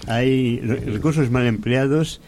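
A man's voice: medium pitch, a little slow, darkish tone. Insistent man over background noise. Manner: insistent, repeats phrases. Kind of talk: discussion about psychiatry.

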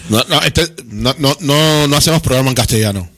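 A man's voice: mid-range voice, a little fast, fairly bright tone. A man co-hosting a radio show, chatting casually, rambling, repeating himself and trailing off.

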